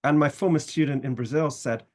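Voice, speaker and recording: A middle-aged British man, a law professor, presenting a university programme in measured phrases with some fillers. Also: fairly high pitch, darkish tone. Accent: British English. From Britain, family from Scotland, raised in England.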